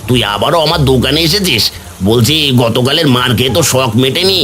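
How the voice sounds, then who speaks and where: A man's voice: mid-range voice, animated, fairly quick, a bit bright, slight background noise, natural voice. A man voicing a grumpy, stingy village shopkeeper in a Bengali cartoon story. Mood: angry. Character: grumpy, stingy village fritter-shop uncle.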